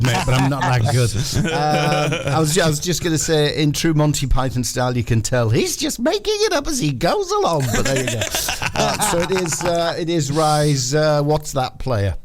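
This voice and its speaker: A man's voice: mid-range voice, lively intonation, normal speed, few pauses, crowd noise. A man hosting a breakfast sports radio show with casual, jokey, self-deprecating football banter.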